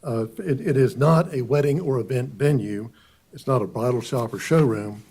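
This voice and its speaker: A hoarse man getting over a cold, an attorney presenting to a county board in a halting way with many repeats and uhs. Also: mid-range voice, darkish tone. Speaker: attorney for applicant. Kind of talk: formal hearing presentation. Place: county board meeting room.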